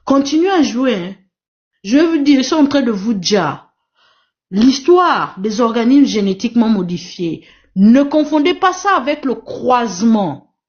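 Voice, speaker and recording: A high-voiced woman whose first language is Portuguese speaks on a live online panel, pressing her points with emphatic repetition. Her voice comes through dull, lo-fi stream audio. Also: lively intonation, measured pace, a little mellow. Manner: emphatic, repetitive, exhorting the audience. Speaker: live stream moderator and activist. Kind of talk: impassioned live panel talk.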